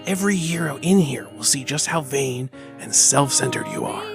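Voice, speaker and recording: A woman's voice: very deep voice, normal speed, music in the background. A woman playing an exasperated superhero who runs a show in an audio drama, scolding and dismissive.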